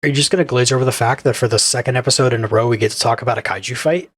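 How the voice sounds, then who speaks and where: A man's voice: mid-range voice, very fast, husky. A man co-hosting a podcast, talking casually as he shares his views in a review. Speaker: podcast co-host. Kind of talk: casual review discussion of a cartoon.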